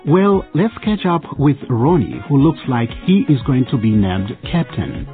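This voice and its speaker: A man's voice: mid-range voice, big pitch swings, measured pace, phone-quality sound, over music. A man hosting and narrating a radio drama with scripted delivery.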